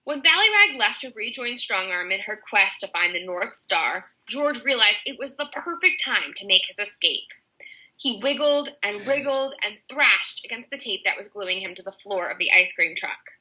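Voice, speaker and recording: A woman narrating a children's book aloud with an expressive storyteller's delivery. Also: mid-range voice, moderate pace, rough recording.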